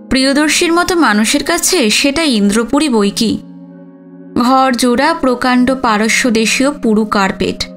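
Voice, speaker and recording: A woman reading a literary story as a dramatic audiobook narration. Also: high-pitched. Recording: music under the voice. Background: background music.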